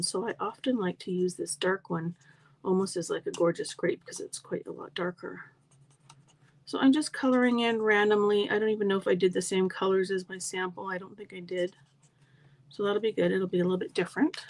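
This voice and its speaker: A woman's voice: mid-range voice, darkish tone. A middle-aged woman demonstrating card making in a live tutorial, chatty and friendly, with a slightly froggy voice. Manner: chatty, friendly asides to viewers.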